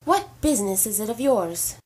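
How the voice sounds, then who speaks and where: A woman's voice: mid-range voice, animated, normal speed, a little mellow, slightly rough. A woman playing a stubborn, guarded young woman in an audio drama, curt and defensive. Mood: defiant.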